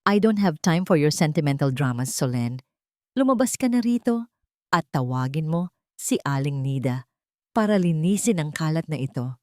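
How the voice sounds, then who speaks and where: A woman's voice: low-pitched, animated, moderate pace, darkish tone, no echo, studio quality. Woman voicing a cold, dismissive husband, dryly recorded. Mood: cold, impatient. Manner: curt, dismissive. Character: cold, contemptuous wealthy husband, middle-aged man.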